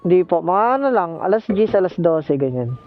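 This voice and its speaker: Muffled, chatty Filipino man over loud noise. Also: sits high, expressive, brisk. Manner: chatty, teasing, polite. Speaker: motorcycle taxi rider and vlogger. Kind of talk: casual joking chat with passenger. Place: outdoors riding a motorcycle in traffic. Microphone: helmet intercom. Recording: muffled intercom mic, loud road noise. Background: loud vehicle and street noise.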